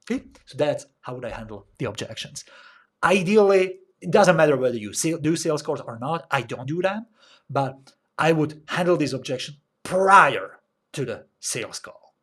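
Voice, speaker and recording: Casting: young Slovak-accented male coach, slightly high voice, animated, acts out examples. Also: normal speed, breathy edge. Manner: animated, acts out little dialogues, frequent filler sounds. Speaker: online business coach. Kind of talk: casual teaching monologue.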